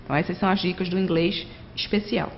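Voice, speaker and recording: A woman's voice: on the low side, quick pace, gravelly, a little hiss or hum. Chatty woman lecturing, dull lo-fi sound. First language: Portuguese. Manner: conversational, frequent tag questions, addresses students directly. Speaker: teacher. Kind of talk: recorded distance-learning lecture.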